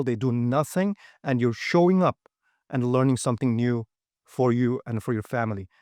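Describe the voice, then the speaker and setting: A man's voice: fairly high pitch, a little mellow, no room sound, studio quality. Straight-talking man. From Uyghur region. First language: Uyghur. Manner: direct, frequent "okay?" and "right?" checks, non-native grammar. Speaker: seminar presenter. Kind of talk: motivational talk.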